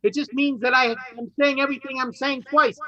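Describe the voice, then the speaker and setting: Male game show host, high-pitched and very bright, forceful delivery, lively and conversational. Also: fairly quick, highs cut off. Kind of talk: lively quiz show hosting, partly improvised.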